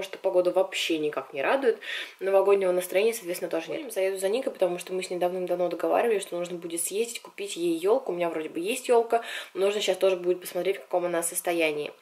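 Girl in her 20s vlogging at home, kinda worn husky voice, normal pitch, just chatting to the camera. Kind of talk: casual chat to camera. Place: indoors, small room at home.

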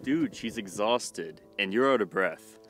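A man's voice: fairly low pitch, expressive, unhurried, a bit bright, soft background music. A man in his twenties playing a casual, plain-spoken young survivor in a post-apocalyptic short film. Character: young male survivor and hiker. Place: outdoors in dry scrubland.

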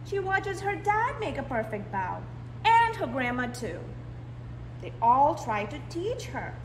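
A woman with a high, very lively voice reads a storybook to small children. She takes her time and leans expressively on key words. The room is echoey. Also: a little slow. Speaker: library storytime reader. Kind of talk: animated picture-book read-aloud for young children. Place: indoors in a library, seated. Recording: roomy echo, clear background noise.

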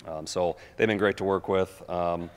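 A man's voice: low voice, fairly even intonation, normal speed, smooth. A man giving a special education report at a school board meeting, casual with frequent fillers, at a standing mic in an auditorium. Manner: casual, self-deprecating, frequent uh and um. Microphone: standing floor mic from a far camera. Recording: echoey hall.

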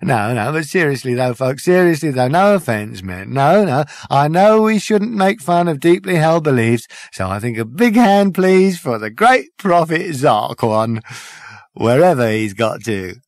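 A man's voice: on the high side, very animated, unhurried, no room sound, pitched above the performer's own voice. A man voicing a showy male cabaret compère with ironic, mocking showbiz patter. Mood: smarmy.

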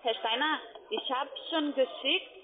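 A woman's voice: high voice, unhurried. A woman call centre agent giving insistent step-by-step instructions with non-native phrasing. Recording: noisy tinny phone line.

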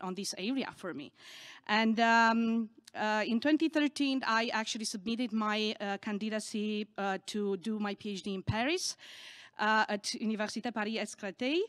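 A middle-aged woman, a native Romanian speaker, giving a candid, earnest personal story from a conference stage into a podium microphone, a little halting. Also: fairly high pitch, a bit bright, dry recording.

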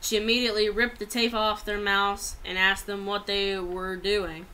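A woman's voice: mid-range voice, level tone, moderate pace, sharp tone, clear, resonant tone, low-quality recording. A young adult woman reads a written story aloud plainly, stumbling over some words, in a small room at home on a laptop microphone. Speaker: amateur writer reading own story.

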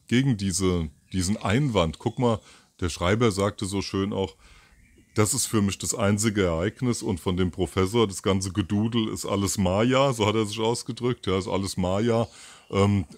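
A man's voice: lowish voice, no room sound. A man in his fifties doing a casual solo commentary outdoors by a garden, rambling with many fillers and self-corrections, into a mic with a furry windscreen. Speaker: host of a commentary show. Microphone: furry windscreen mic near camera.

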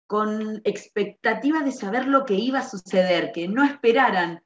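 A middle-aged woman with an Argentine accent from around Rosario, informally explaining a school project as a librarian, with hesitant pauses and stretched words. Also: mid-range voice. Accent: Argentine, Rosario area. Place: small bare-walled room indoors. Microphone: computer microphone on a video call. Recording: dull, echoey webcam audio.

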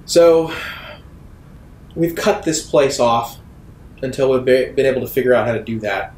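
A man voicing a stern, authoritarian female security captain in a tabletop game, with a measured, accusing manner. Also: mid-range voice, darkish tone, reverberant, lightly acted. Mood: defiant, accusing.

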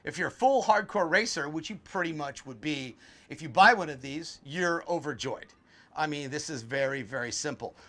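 An older man with a high, bright voice walks a viewer through a sailboat in a loose, opinionated way. He speaks bluntly and drops joking asides. He is recorded first on deck at a marina, then close up inside the boat's cramped cabin. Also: expressive, normal speed, dry recording. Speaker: boat tour host. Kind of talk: casual walkthrough commentary.

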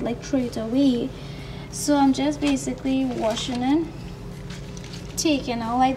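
A woman in her twenties with a Trinidadian accent, casually narrating a home cooking vlog as she works. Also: high-pitched. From Trinidad and Tobago. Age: 20s. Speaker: vlogger. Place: home kitchen, indoors. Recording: noisy kitchen, distant microphone.

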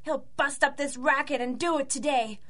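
A woman's voice: sits high, steady tone, normal speed, a bit bright. A woman playing a brave, earnest little boy who works as a young agent, in a rhymed radio drama. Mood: indignant. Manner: plucky, earnest.

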